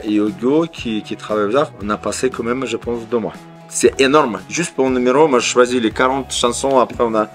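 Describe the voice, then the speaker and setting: A man's voice: mid-range voice, a bit bright. A man speaking as an artistic director in an interview, hesitant, with many fillers and restarts. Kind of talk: interview answers.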